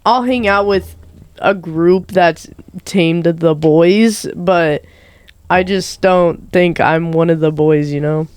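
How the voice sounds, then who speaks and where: A young woman with a deep voice in casual podcast chat, telling stories and laughing mid-sentence. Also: slow, a little mellow, clear, resonant tone, no echo, clean studio sound.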